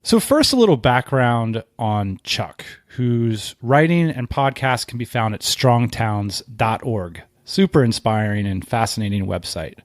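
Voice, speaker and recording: A man's voice: mid-range voice, lively intonation, measured pace, few pauses, no echo, studio quality. A man hosting a podcast, introducing the show in a conversational way. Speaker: podcast host.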